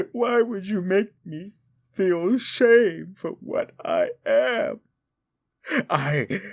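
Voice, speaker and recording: A man voicing a gravelly, scornful demon. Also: sits high, full character voice. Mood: anguished. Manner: contemptuous, belittling, theatrical. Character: scornful proud male demon of desire. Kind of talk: audio roleplay drama.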